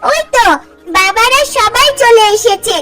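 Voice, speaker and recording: Very high-pitched excited child. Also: female voice, a little slow, faint music behind, exaggerated voice. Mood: excited wonder. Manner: excited, exclaiming. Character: wide-eyed, excited village child. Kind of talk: cartoon fairy tale dialogue.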